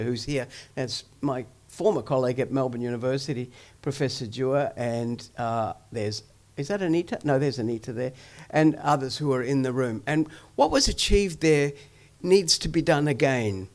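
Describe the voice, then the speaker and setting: A man's voice: mid-range voice, a little mellow. A man presenting research in a lecture, talking loosely with many ums, restarts and asides. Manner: discursive, many ums and self-corrections. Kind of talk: academic lecture. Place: event hall.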